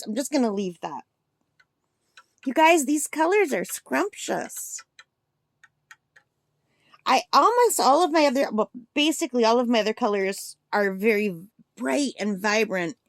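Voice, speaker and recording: Chatty, gushing woman, slightly gravelly. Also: fairly high pitch, expressive, moderate pace, no echo, clean studio sound. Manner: chatty and gushing, thinks aloud. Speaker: art supply reviewer. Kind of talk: casual swatching demo.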